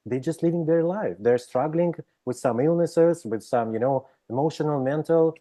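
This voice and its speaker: Russian-accented man, mellow and hesitant. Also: a little high, normal speed. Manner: hesitant, frequent "right?" tags and restarts. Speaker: psychologist guest. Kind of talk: interview conversation.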